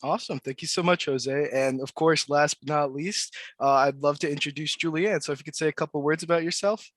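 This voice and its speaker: A man hosting an online panel webinar, introducing guests in a relaxed, friendly way. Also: on the high side, a little fast, no echo, clean studio sound. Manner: friendly, relaxed, repeats thanks. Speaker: webinar host. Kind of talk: casual panel moderation.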